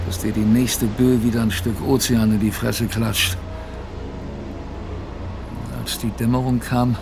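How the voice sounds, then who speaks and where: A haunted survivor of a polar expedition tells his tale in an audio drama. The voice sits at a middling male pitch with a gravelly creak. He speaks plainly but dramatically, halting and repeating for emphasis, over faint background noise. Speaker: narrator. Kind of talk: dramatized first-person audio drama narration.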